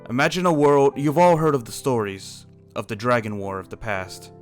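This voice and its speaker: A man's voice: mid-range voice, animated, moderate pace, faint music behind, lightly acted. A man playing an earnest dragon slayer in an improvised fantasy game roleplay, explaining things in a serious way. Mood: earnest, grave.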